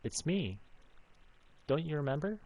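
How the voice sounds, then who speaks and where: A man's voice: a little high, big pitch swings, unhurried, darkish tone, muffled recording, pitched above the performer's own voice, full character voice. A man voicing a mysterious, apologetic late-night visitor in a visual novel game. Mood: gently insistent.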